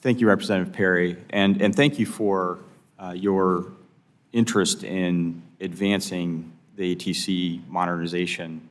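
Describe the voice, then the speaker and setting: An American man testifying formally as an expert witness, measured and diplomatic, in halting phrases. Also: low voice, flat delivery.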